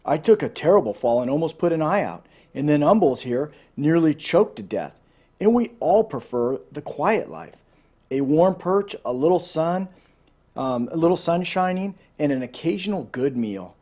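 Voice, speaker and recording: A man's voice: a little high, lively intonation, normal speed, mellow. A man voicing gabby old green snakes who boast and reminisce in a children's storybook. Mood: reminiscing.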